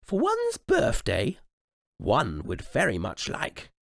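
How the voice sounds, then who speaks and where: A man voicing an imperious elderly queen in a shrill, pompous falsetto for a storybook. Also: huge pitch swings, slow, creaky, no room sound, clean studio sound, heavily acted. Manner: pompous, over-enunciated, refers to self as "one". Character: posh, imperious elderly queen, snappy and regal.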